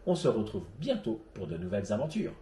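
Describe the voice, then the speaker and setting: A man's voice: lowish voice, huge pitch swings, brisk. A man hosting a web show, reading a scripted presentation to viewers in an earnest, didactic way. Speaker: host of an amateur radio web show. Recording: roomy, echoey sound.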